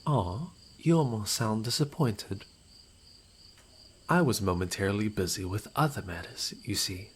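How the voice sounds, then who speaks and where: Casting: actor as suave male rival, slow mocking drawl, mid-pitched, theatrical false courtesy, fantasy audio drama, faint insect-filled night noise. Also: lightly acted. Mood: amused, taunting. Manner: sardonic, mock-courteous, drawn-out. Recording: noisy night ambience with insects.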